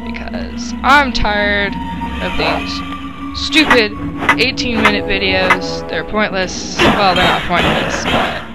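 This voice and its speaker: A woman's voice: medium pitch, fairly even intonation, takes it slowly, poor audio. A woman giving casual, playful commentary as she plays a video game, joking around and taunting enemies. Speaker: let's play gamer. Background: loud game music.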